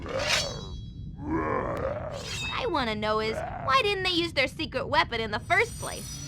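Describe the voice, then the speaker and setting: A woman playing a perky, friendly young female faun who narrates video game cutscenes in an expressive storybook way. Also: high-pitched, unhurried, full character voice. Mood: wry, chatty. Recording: game music under the voice.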